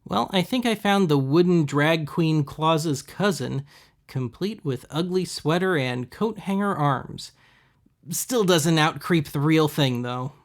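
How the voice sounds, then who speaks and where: Wry man with a creaky voice. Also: a little high, expressive, slow. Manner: wry, sarcastic. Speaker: host of a thrift-shopping video series. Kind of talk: casual scripted voice-over commentary.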